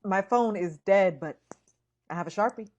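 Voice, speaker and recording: An actress playing an awkward, earnest young seller turned investigator in a naturalistic radio drama. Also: female voice, medium pitch, darkish tone, lightly acted. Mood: flustered, smitten. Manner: halting, flustered, earnest, wry. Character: awkward, smitten young privacy-gear seller and amateur investigator, Lavender.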